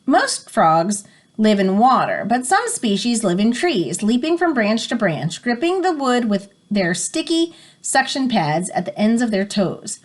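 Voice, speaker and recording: A woman's voice: a little high, big pitch swings, measured pace. A woman reading an educational picture book aloud as a teacher, explaining and prompting listeners as she goes. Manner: explanatory, stops to define words and prompt listeners.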